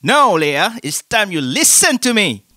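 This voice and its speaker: High-voiced protective young brother. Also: male voice, very animated, a little slow, background noise. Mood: worried, scolding. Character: protective older brother, worried and scolding. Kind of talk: animated motion comic voice acting.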